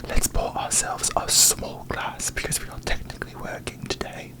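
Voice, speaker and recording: A performer voicing a haughty, disdainful fantasy queen in a breathy ASMR whisper, with pompous, deadpan, regal diction, as a comic parody. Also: normal speed, lightly acted. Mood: scornful, dry.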